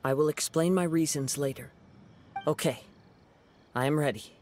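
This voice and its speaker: An actor playing a young wandering samurai in a game cutscene, speaking in a calm, measured, gentle way. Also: male voice, a little high, slight background noise, lightly acted.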